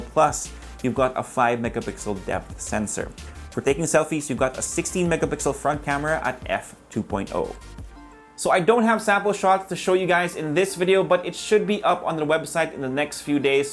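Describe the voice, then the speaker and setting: A man from the Philippines hosting a casual tech review, his voice sitting at an ordinary middle pitch. He talks in a relaxed, conversational way and speaks straight to his viewers. Soft music runs faintly underneath.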